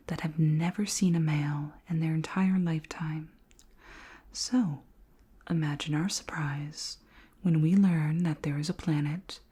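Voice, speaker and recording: A woman voicing a curious, polite, imperious alien queen in a whispered ASMR roleplay, with slow, formal, deliberate questioning in a soft, breathy whisper. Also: low-pitched, exaggerated voice. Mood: proud, excited.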